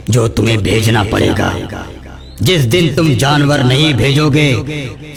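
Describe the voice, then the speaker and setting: A man voicing a menacing ghost demon in a children's cartoon, with slow, threatening delivery and music behind him. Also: mid-range voice. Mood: threatening, demanding. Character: menacing ghost demon demanding to rule the jungle. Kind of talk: children's cartoon villain acting.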